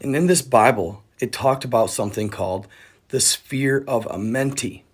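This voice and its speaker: A man's voice: medium pitch, moderate pace, husky. A man presenting an explainer as a content creator, with a conspiratorial storytelling manner and rhetorical questions. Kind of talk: explainer narration to camera. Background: background music.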